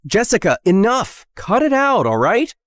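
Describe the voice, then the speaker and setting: A woman voicing an earnest teenage boy, the protective peacemaker of a story drama. The pitch moves in wide swings as he placates and pleads, and the sound is dry. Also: very animated, moderate pace, dry recording. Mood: firm.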